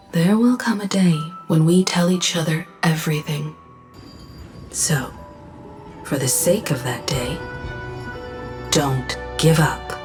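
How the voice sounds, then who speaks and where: An actress playing a steady, motherly mentor in an English game dub, talking in a measured, encouraging way. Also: sits low. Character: steady, motherly woman mentor guiding a young traveler.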